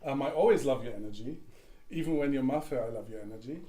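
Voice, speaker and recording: A man's voice: medium pitch, fast, warm tone. A man player giving a nervous, rambling timed speech. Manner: nervous, rambling, laughing at himself. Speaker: tournament player. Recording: echoey room with faint noise.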